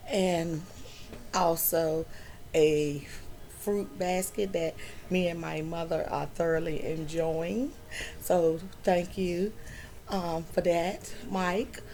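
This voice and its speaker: Slow, gravelly-voiced woman vlogger. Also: lowish voice, darkish tone. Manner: slow, drawn-out storytelling with many fillers. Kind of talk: personal health story vlog. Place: home.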